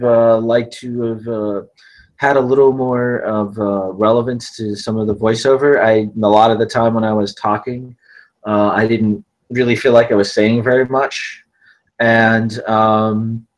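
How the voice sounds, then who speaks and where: A man giving casual feedback on a piece of writing in a hesitant, halting manner. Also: lowish voice, poor audio. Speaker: table read participant giving feedback. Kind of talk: casual spoken critique.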